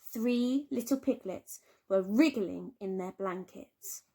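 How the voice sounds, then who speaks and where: A woman teacher reading a children's storybook aloud with expressive, dramatic emphasis and drawn-out words. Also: medium pitch. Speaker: primary school teacher.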